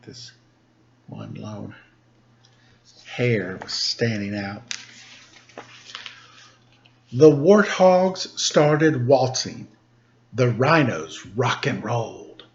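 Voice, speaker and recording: A man reading a children's picture book aloud in an expressive, deliberate storytelling style. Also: mid-range voice, creaky, a little hiss or hum. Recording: dull lo-fi sound.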